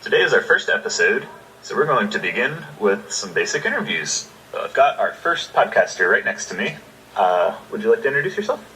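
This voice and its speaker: A man's voice: on the low side, very animated, a little fast, bright, forceful tone, recorded from a distance, slight background noise, poor audio. A man hosting a podcast, greeting guests and asking them questions in a friendly, upbeat way. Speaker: podcast interviewer. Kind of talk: casual interview.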